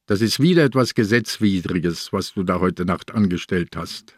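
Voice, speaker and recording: An ageing Swiss police inspector in a radio crime drama. The voice is fairly low and closely recorded without room sound, dry and chiding in manner, shrewd and matter-of-fact. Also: male voice, normal speed, dry recording. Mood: grumbling, chiding. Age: older. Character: old ailing Bernese police inspector, dry, grumbling, shrewd.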